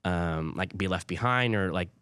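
Really low-voiced young guy, candid podcast chat, lots of "like", dry mic. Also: moderate pace, fairly bright tone, clean tone, no room sound. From Hawaii. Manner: candid, filler-heavy storytelling with frequent "like". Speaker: podcast co-host. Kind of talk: casual chat.

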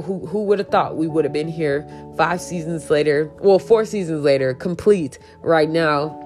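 A woman podcast host with a raspy voice, talking casually and emphatically, repeating key points. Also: sits low, darkish tone. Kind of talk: casual solo podcast talk.

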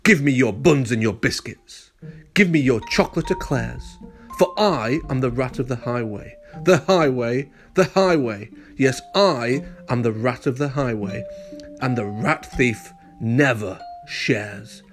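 A man voicing a greedy, boastful rat highwayman from a picture book who bellows his demands. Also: lively intonation, measured pace, soft background music. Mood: boastful bellowing.

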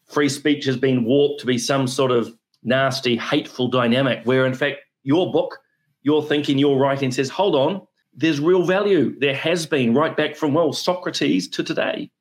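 New Zealand male host, effusive and eager, mid-pitched. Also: normal speed. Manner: enthusiastic, effusive introductions. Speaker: podcast host. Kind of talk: interview hosting.

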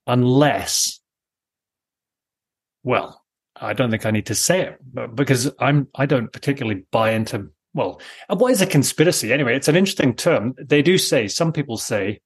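A man around fifty with a husky voice, chatting casually in a musing, opinionated way and often interrupting himself. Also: mid-range voice, expressive, normal speed. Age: late 40s to early 50s. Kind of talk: casual conversation.

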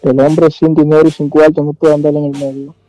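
Mellow, higher-pitched man, earnest. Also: flat delivery, fairly quick, faint music behind, low-quality recording. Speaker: prank call victim. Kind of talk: phone conversation, answering questions.

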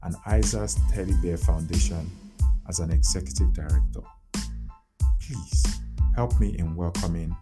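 A man with a very deep voice hosting a podcast interview. He reads a polished introduction and then moves into relaxed, conversational questions. Loud music plays behind him. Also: moderate pace. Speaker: podcast host.

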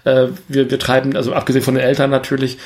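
A man's voice: medium pitch, monotone, rapid-fire, a little mellow. A man co-hosting a film podcast, talking casually and searching for words as he reasons aloud.

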